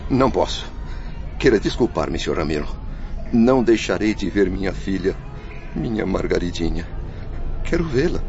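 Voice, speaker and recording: A distraught spirit of a father, played by a man pleads stubbornly in a creaky, very animated voice. He is agitated and halting. The radio drama sounds dull, with music behind. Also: deep voice, a little hiss or hum, full character voice. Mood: indignant anguish. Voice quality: creaky, gravelly. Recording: dull lo-fi audio under loud music.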